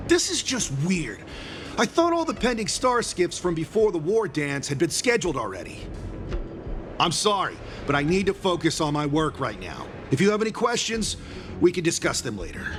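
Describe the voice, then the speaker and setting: A man voicing a fussy, flustered craftsman in a video game, officious and apologetic in manner. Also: high-pitched. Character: fussy, flustered craftsman worried about his work. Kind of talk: scripted video game dialogue acting.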